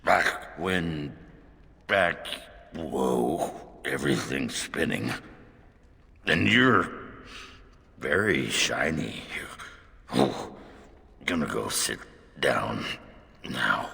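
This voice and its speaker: An actor playing a crude, boozy, gruff dwarf warrior in a video game, with a gravelly growl and blunt, slurred delivery. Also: male voice, rumbling low, sing-song, extremely slow, long pauses, pushed and bright, in a large hall, exaggerated voice. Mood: drunken, rambling.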